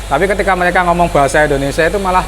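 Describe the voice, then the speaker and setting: A man with a Javanese accent hosting a school podcast, chatty and quick to back up the guest with short replies. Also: sits high. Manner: chatty, lots of backchannel "hmm" and "oke", mixes in Javanese words. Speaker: podcast host, MC. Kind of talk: casual podcast interview.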